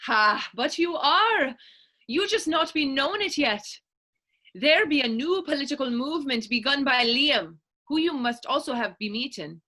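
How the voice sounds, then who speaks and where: A woman's voice: high-pitched, lively intonation, moderate pace, bright, forceful tone. A woman playing a bold, fervent Irish political idealist in a staged period drama reading, emphatic and persuasive. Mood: earnest, urging. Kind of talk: stage readers-theater dialogue in Irish period dialect.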